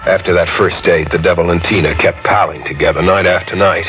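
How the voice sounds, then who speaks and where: A man's voice: low voice, steady tone, brisk, lightly acted. An actor playing a jealous, unhinged craftsman in a 1940s radio horror drama, agitated and melodramatic. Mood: bitter, brooding. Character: jealous, unhinged wax-mask maker in a horror tale. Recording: muffled old radio recording, music behind.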